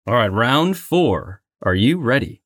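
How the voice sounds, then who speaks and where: A man's voice: medium pitch, a bit bright, dry recording, studio quality. A man co-hosting a casual trivia game, bantering playfully and announcing like a mock game-show host. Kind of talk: casual bantering quiz chat.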